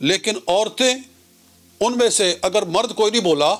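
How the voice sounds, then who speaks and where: A man giving a religious lecture as an Islamic cleric, citing scripture in short, measured, didactic phrases. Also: high voice, a bit bright, soft background music.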